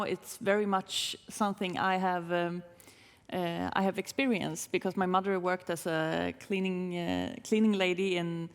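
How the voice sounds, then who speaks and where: Woman, from Sweden, director on a panel, hesitant with frequent uhs, long hall reverb. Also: medium pitch. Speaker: film director. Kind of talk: festival Q&A panel answer. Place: festival stage in a large hall.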